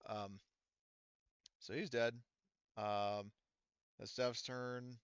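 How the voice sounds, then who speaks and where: A man's voice: medium pitch, flat delivery, very slow, smooth, no echo. A man running a tabletop roleplaying game as game master, narrating in a casual, colloquial way. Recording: dull, lo-fi sound.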